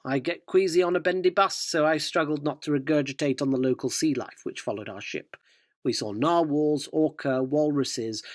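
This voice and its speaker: A man's voice: on the high side, no echo, natural voice. Man acting a dry, cynical reporter narrator, close-miked. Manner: wry, wordy, dryly sardonic. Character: cynical, self-important male newspaper reporter recounting a polar expedition. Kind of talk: scripted radio drama narration.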